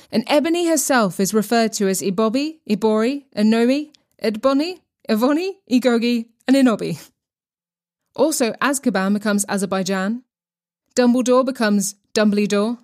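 A woman's voice: on the high side, normal speed, a little mellow. A woman presenting a podcast with scripted, tongue-in-cheek narration.

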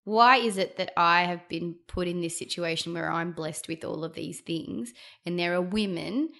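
A woman's voice: lowish voice, moderate pace, creaky, a bit bright. A woman guest on a podcast speaking in a reflective, earnest way. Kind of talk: casual chat.

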